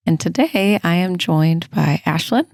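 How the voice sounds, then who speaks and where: A woman nurse practitioner hosting a casual health podcast, a little hoarse as she loses her voice, chatty and laughing often. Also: fairly low pitch, animated, measured pace, darkish tone, no echo, clean studio sound. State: losing her voice.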